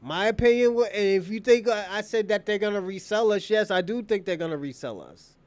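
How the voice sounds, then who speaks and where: A man's voice: sits high, fairly quick, a little mellow, no room sound. A man hosting a casual livestream, talking off the cuff in an opinionated, rambling way and repeating phrases. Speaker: live stream show host.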